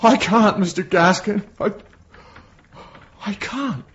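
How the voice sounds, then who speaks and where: Frightened, high-voiced servant lad. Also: male voice, slow, a bit bright. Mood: shaken. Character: frightened young servant man, anxious and deferential. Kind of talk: radio drama acting. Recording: dull lo-fi audio with noise.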